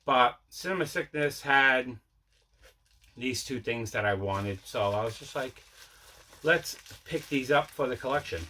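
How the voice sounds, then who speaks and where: Bright-voiced man, casual unboxing host. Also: mid-range voice, unhurried. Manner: rambling, conversational, self-correcting. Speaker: host of a collecting channel. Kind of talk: casual unboxing vlog chat.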